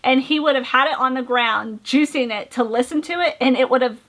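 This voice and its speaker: A woman's voice: sits high, brisk, a bit bright. A young woman co-hosting a casual chat, telling stories in a chatty way and laughing often. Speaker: co-host of an RC hobby channel.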